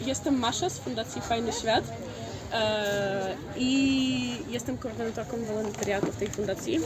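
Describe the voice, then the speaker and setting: A woman's voice: a little high, normal speed, crowd noise. A woman giving a spontaneous interview answer as a volunteer coordinator. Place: outdoor volunteering fair in a park. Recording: loud outdoor ambient noise.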